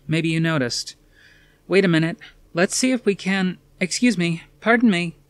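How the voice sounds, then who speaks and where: A man's voice: high voice, moderate pace, clean tone, dry recording, pitched above the performer's own voice, lightly acted. A man voicing an urgent, breathless female TV news reporter in a dramatic audiobook reading. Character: panicked female TV news reporter amid an attack.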